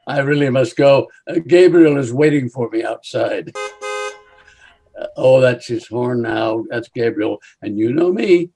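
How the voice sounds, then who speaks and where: An actor playing a genteel, macabre undertaker in a radio comedy, speaking with mannered politeness and droll wordplay. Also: male voice, mid-range voice, animated, takes it slowly, a little mellow. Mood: cheerily macabre. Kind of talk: old-time radio comedy acting.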